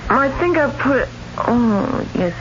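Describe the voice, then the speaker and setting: An actress in a vintage radio drama playing an uneasy, tender-hearted young wife with a gentle, anxious manner. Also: female voice, fairly high pitch, sing-song, unhurried. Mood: sleepy, wistful. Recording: dull, very noisy vintage radio recording.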